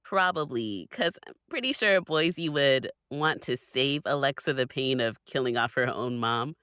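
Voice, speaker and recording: A woman's voice: very deep voice, lively intonation, measured pace, fairly bright tone, smooth, dry recording, studio quality. A woman chatting casually as a panelist, expressively acting out imagined quotes. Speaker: podcast panelist. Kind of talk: casual chat.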